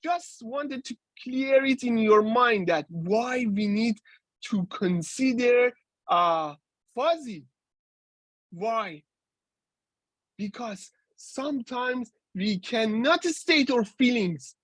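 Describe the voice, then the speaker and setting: A man in his forties delivers a university lecture at an unhurried, careful pace. He often stops mid-phrase and starts again, filling the gaps with hesitations. The sound is dry and close, with no room echo. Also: very high-pitched, expressive, a bit bright. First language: Persian. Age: 40s. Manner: halting, with many restarts and fillers. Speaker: guest lecturer.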